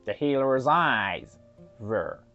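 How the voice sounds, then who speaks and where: A storyteller narrating a folktale in an expressive, dramatic way, with deliberate pauses. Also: medium pitch. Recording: slightly muffled, soft music underneath.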